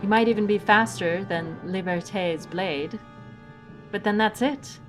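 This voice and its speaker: A woman's voice: mid-range voice, lightly acted. Woman playing a composed, precise adventure heroine, music behind. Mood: earnest, persuasive. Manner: measured, precise, dry. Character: composed, principled, pedantic heroine who reasons with villains. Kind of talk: audio drama acting.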